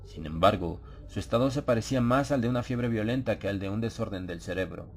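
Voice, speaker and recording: Low-voiced man narrating a horror audiobook. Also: fairly quick, a little mellow, faint music behind. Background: ambient sound effects.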